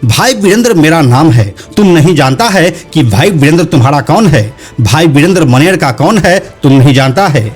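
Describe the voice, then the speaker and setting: A man's voice: on the high side, lively intonation, normal speed, few pauses, slightly rough. A man voicing an arrogant local politician in a narrated explainer, haughty and browbeating. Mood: indignant. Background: background music.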